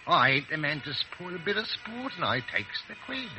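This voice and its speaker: A man's voice: a little high, very animated, normal speed, poor audio. A chatty milkman. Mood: explaining. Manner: plain-spoken, folksy storytelling. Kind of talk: 1930s radio drama acting.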